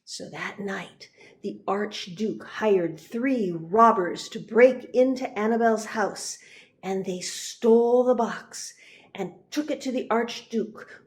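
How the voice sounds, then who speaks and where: A woman narrating a children's picture book, expressive and deliberate, pausing for emphasis. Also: mid-range voice. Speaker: reverend reading a picture book.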